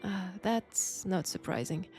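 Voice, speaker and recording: A woman performs a flirtatious, mysterious Frenchwoman from a Second World War roleplay. She has a French accent and takes her time, coy and conversational, pausing between thoughts. Soft music plays faintly behind her intimate delivery. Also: mid-range voice, close to an everyday voice. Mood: curious, teasing. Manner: unhurried, coy, conversational with pauses. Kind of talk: intimate roleplay audio drama.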